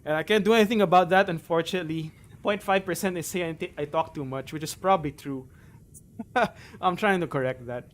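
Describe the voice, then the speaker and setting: A man in his thirties with a Filipino accent, talking through a game tutorial and chatting with viewers on a live stream, in a relaxed, chatty way with many fillers. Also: fairly high pitch, fairly quick. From Philippines. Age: 30s. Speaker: gaming streamer.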